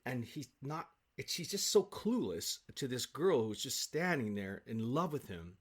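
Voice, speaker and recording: A man speaking as an interview guest in casual, enthusiastic conversation, telling stories in an animated way. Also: mid-range voice, moderate pace, slightly rough.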